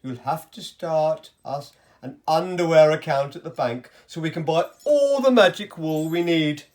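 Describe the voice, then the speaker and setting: A woman's voice: low voice, animated, a little slow, darkish tone. A woman voicing a sly, fawning male tailor in a children's storybook, grandiose and salesmanlike. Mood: sly persuasion.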